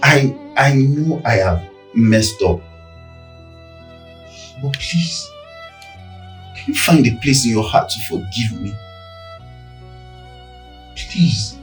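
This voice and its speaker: Cheating husband, pleading, placating, hugely varied pitch, echoey room, screen melodrama. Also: male voice, huge pitch swings, slow pace, pauses often, faint music behind. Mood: remorseful, pleading. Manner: pleading, beseeching. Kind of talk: melodramatic screen drama acting.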